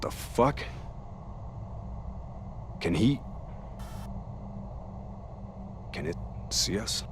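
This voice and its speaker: An actor playing a hot-headed, profane soldier in a cinematic video game, with a gruff, emphatic delivery. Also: male voice, fairly low pitch, animated, slow, a little hiss or hum. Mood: tense. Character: hot-headed, profane male soldier pleading with lost comrade.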